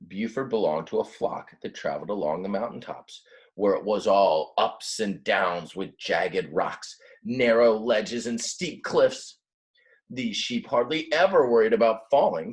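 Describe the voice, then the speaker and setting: A man reading a children's book aloud expressively, like a teacher, with simple, casual explanations along the way. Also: lowish voice. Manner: explains words simply in plain asides. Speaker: read-aloud storyteller, teacher.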